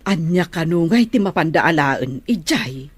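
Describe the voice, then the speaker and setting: A woman playing a disapproving household confidante in a melodrama, with puzzled, drawn-out reactions. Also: low-pitched, animated, slightly rough, no echo, heavily acted. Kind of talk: Ilocano melodrama acting.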